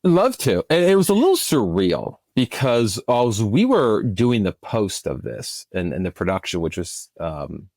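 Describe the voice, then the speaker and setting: Man, producer as interview guest, fairly low pitch, casual, enthusiastic, circles back mid-thought, dry sound. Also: big pitch swings, a little slow, hardly any pauses, a little mellow, no echo.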